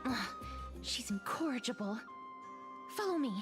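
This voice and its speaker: A woman's voice: on the high side, sing-song, unhurried, music in the background. An actress playing a poised, reserved young noblewoman in a video game, speaking politely and formally. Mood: exasperated, stern.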